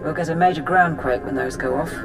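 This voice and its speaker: An actor playing a deferential, careful military support technician in a video game, giving crisp, formal reports. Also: medium pitch, fairly quick, music in the background, lightly acted, poor audio. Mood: dutiful.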